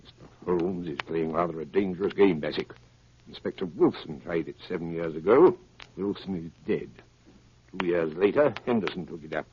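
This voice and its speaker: Actor as a deep-voiced, menacing criminal mastermind. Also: male voice, close to an everyday voice. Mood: cold menace. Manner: deliberate, ominous, commanding. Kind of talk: 1930s radio acting. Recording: dull, hissy 1930s radio broadcast.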